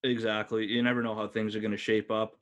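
A man with a slightly low voice hosts a sports podcast. He speaks in a casual, conversational way, as in an easy chat with a co-host. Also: level tone, quick pace.

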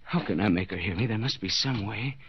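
An actor playing a desperate, guilt-ridden husband in a 1940s radio suspense drama, stammering and repeating himself breathlessly. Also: male voice, on the low side, brisk, natural voice. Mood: frantic pleading. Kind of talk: 1940s radio suspense acting, interior monologue. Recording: noisy, dull 1940s radio recording.

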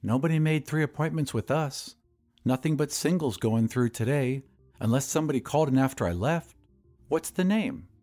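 A man's voice: medium pitch, expressive, moderate pace, darkish tone, faint music behind, pitched above the performer's own voice, lightly acted. A man voicing a prim, businesslike woman receptionist in an audiobook story.

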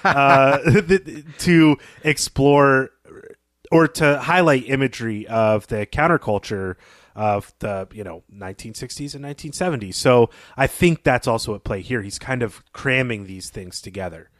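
Guy co-hosting a book podcast, medium pitch, dry studio-ish sound, just chatting. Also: unhurried, a bit bright, dry recording. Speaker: podcast co-host. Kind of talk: casual literary discussion.

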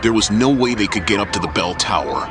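Low-voiced man narrating an audio drama. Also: expressive, fast, over music. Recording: loud dramatic score and battle effects behind.

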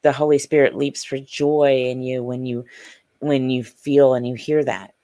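A woman's voice: a bass voice, fairly even intonation, measured pace, mellow, no echo. Earnest woman guest with a dry close sound. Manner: earnest, emphatic, insistent. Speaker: podcast guest, author. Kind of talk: casual podcast conversation.

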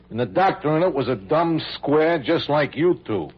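A man's voice: fairly high pitch, expressive, a little slow. An American actor playing a cocky, uneducated gunman in old radio drama, with slangy, sneering tough-guy talk. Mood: scornful defiance. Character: cocky uneducated gunman, a defiant adult male crook. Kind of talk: 1940s-50s American radio drama acting. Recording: husky-sounding, dull old radio recording.

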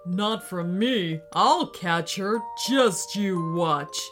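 A woman's voice: fairly low pitch, expressive, extremely slow, fairly bright tone, faint music behind, exaggerated voice. A woman voicing a wicked, boastful male wolf with a menacing drawl, for a children's story.

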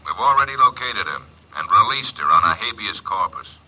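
An actor playing a blunt, forceful police inspector with a husky voice in an old radio drama. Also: male voice, sits low, lively intonation, brisk, exaggerated voice, low-quality recording. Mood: blunt, businesslike. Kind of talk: 1950s radio drama acting.

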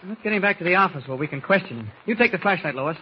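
An actor playing an eager, quick-witted newspaper reporter, brisk and decisive, in 1940s radio drama style. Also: male voice, high-pitched, lively intonation. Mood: urgent reasoning. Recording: muffled old radio recording, faint noise.

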